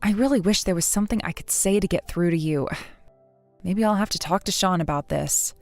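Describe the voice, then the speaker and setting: A woman in her thirties voicing a patient, polite bride-to-be who speaks in a soft, measured, restrained way. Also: on the low side, no echo, soft background music, lightly acted. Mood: hurt. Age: 30s.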